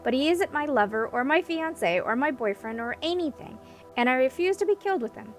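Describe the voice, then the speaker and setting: Woman playing a headstrong, high-voiced young princess. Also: lively intonation, distant mic, faint music behind. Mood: outraged. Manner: brisk, dry, exasperated. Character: headstrong, sharp-witted, impatient tomboyish young princess.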